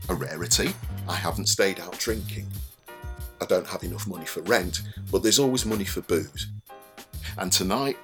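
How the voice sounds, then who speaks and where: A deep-voiced young man confides his story in a radio drama monologue. He is wry and anxious, with music running clearly under his voice. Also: a bit bright, exaggerated voice. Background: music behind the voice.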